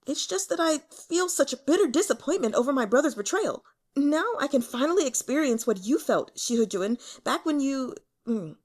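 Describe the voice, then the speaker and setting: A woman plays a naive, easily flustered young man in an audiobook. His voice sits very high and swoops around as he stammers and complains with melodramatic indignation. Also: lively intonation, moderate pace, darkish tone. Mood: sorrowful. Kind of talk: audiobook character voice.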